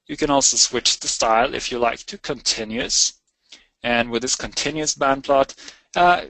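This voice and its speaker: A man presenting a technical software tutorial in a measured, explanatory way, as in a semi-improvised webinar walkthrough. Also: medium pitch, dry recording, rough recording.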